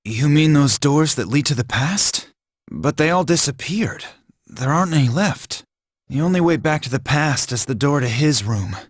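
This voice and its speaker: A man's voice: on the high side, animated, normal speed, no echo, clean studio sound. A man playing a practical, level-headed, plain-spoken young man in an anime game dub. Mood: doubtful, cautious.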